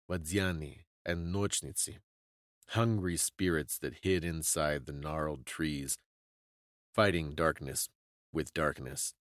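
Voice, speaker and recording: A man with a very deep voice reads a dramatic story as a solemn, suspenseful storyteller. He goes slowly, with deliberate phrasing. The sound is close and dry, with faint music underneath. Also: fairly bright tone, no room sound. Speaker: storyteller and podcast host. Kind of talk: dramatic scripted story reading.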